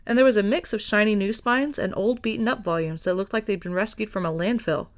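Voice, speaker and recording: A woman's voice: medium pitch, fairly quick. A woman reading a story aloud as scripted narration. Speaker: podfic reader.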